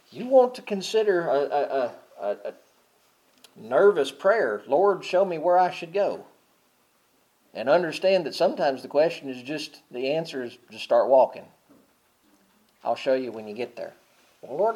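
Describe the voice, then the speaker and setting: A middle-aged man preaching a sermon, talking casually and then swelling loud and high on key points. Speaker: pastor.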